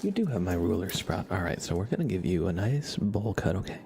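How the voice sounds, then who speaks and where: A man livestreaming ASMR, soft-spoken and playful, slipping between a murmur and a whisper as he chats and roleplays. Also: low-pitched, very animated, a little fast, a little hiss or hum. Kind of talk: ASMR live chat with roleplay, partly whispered. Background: scissor sounds.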